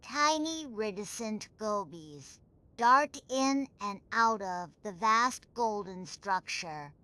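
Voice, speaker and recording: A woman narrating a scripted bedtime sleep story in a soothing, measured, unhurried manner. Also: medium pitch, animated, pushed and bright.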